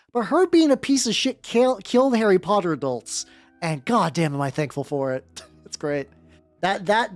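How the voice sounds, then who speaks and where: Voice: mid-range voice, no echo. Sardonic streamer, very dry close sound. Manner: rambling, sardonic, theatrical. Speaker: livestreamer, VTuber. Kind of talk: casual livestream chat and comic fan-fiction reading. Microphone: close dry streaming mic. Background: faint background music.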